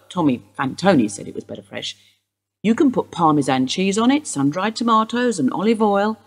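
A woman's voice: lowish voice, husky. A woman playing a wistful, rambling housewife. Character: widowed English housewife, Mrs Steele, wistful suspect. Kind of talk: radio play acting.

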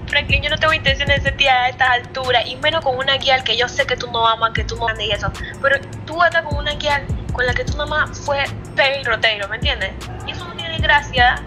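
A woman with a Panamanian accent chats casually on a phone call. Her voice is high and her manner is blunt and questioning. Music plays behind her. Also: fast, hardly any pauses. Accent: Panamanian Spanish. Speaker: friend called on the phone.

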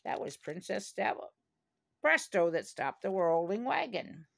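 An older woman reading a children's story aloud in a deliberate manner, with small asides to the listener. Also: mid-range voice, very animated, creaky. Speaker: storybook reader.

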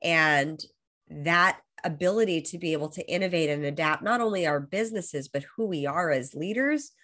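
Give me an American woman in her thirties, lower voice, enthusiastic coach on a video call. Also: normal speed, a bit bright. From Los Angeles, USA. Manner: enthusiastic, motivational, flowing. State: getting over a cold. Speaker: guest, high performance coach. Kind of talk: casual interview over video call. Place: bright room at home.

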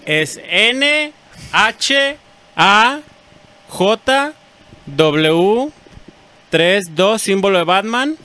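A man's voice: sits high, animated, very slow, long pauses, pushed and bright, clean tone. A man hosting a comedy prank show with joking banter and a cocky, mocking manner into a close handheld mic. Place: small studio room. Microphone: handheld mic close to the mouth.

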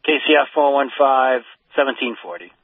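A man's voice: medium pitch, expressive, very noisy. Male fire dispatcher on dull radio. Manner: formal, measured, repeats each call. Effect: two-way radio. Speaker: fire mutual aid dispatcher. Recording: dull, band-limited radio dispatch audio.

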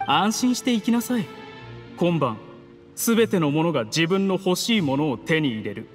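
男性の声優がゲームで演じる、礼儀正しく落ち着いた口調で脅す冷酷な策士の役人。. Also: male voice, a little high, lively intonation, close to an everyday voice. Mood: smug, calm. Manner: courteous, measured, menacing formal speech. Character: coolly ruthless scheming male official, polite betrayer. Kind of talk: dramatic game cutscene acting in Japanese anime manner. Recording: long reverb, music underneath.

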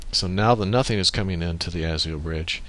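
A man with a very low, bright and forceful voice walks through a software setup. He explains things methodically in an informal way, often thinking aloud as he goes. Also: a little fast. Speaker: tutorial presenter. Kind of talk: informal software screen-recording tutorial. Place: indoors at a computer, voice-over on screen recording.

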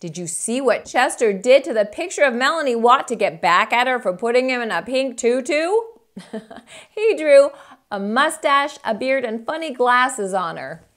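A middle-aged woman hosting children's storytime, reading a picture book aloud in a theatrical, deliberate, emphatic way. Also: fairly high pitch, expressive, fairly bright tone. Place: small indoor room with bookshelves.

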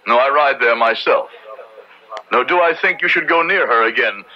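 An actor playing a stern, dutiful Puritan judge in a radio drama, with a formal, authoritative, archaic way of speaking. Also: male voice, medium pitch, normal speed, lightly acted. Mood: stern, resolute. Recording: dull old radio recording.

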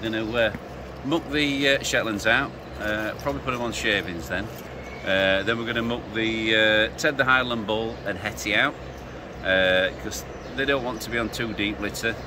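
A man's voice: fairly low pitch, normal speed. A middle-aged man with a Yorkshire accent, speaking plainly and matter-of-factly with hesitant fillers, recorded outdoors in a farmyard. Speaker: farmer. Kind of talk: casual chat. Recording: outdoor noise, tractor nearby.

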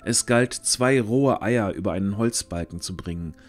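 A man's voice: fairly low pitch, moderate pace, soft background music. A man narrating a scripted fantasy audio drama as its storyteller.

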